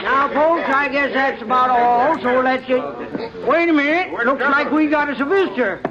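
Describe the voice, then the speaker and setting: An actor playing a folksy, scheming small-town doctor who drawls and speechifies in a 1950s radio drama. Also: male voice, very high voice, moderate pace. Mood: welcoming. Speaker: town committee leader running a rally. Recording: dull lo-fi old radio broadcast with crowd murmur.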